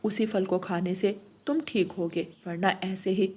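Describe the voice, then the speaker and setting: A woman's voice: medium pitch, steady tone, measured pace, warm tone, breathy edge, voice pushed down. A woman voicing a stern female bird doctor in a children's cartoon, firm and matter-of-fact.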